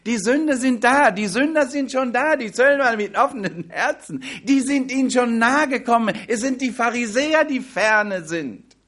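A man preaching a sermon on a Bible passage in a language that is not his first, with slow, emphatic delivery that drops into quiet asides. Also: extremely high pitch, animated. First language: not German.

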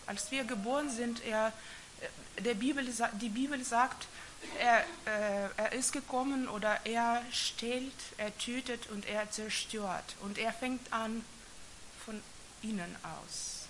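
A woman from the former Soviet Union, speaking German as a second language, gives a personal faith testimony and reads scripture in a halting, hesitant way with frequent restarts. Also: fairly high pitch, a bit bright, slight background noise, poor audio. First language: not German. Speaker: church member giving testimony.

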